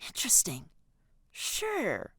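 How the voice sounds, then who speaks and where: A woman's voice: on the low side, sing-song, normal speed, dry recording, studio quality. A woman voicing a wry, teasing teenage girl who speaks in sardonic, murmured asides in a story. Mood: teasing, curious.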